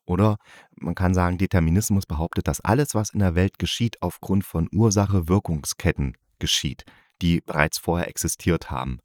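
Deep-voiced man chatting through a review. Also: a little fast, no echo, studio quality. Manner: chatty, explanatory, colloquial. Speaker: podcast host and reviewer. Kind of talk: casual spoken review, explaining to listeners.

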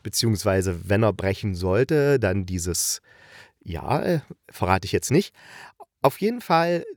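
A man's voice: on the low side, very animated, a little slow, no room sound, studio quality. A man hosting a casual review podcast, talking in an enthusiastic, colloquial and rambling way. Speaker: podcast host reviewing radio plays. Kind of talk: casual chatty review monologue.

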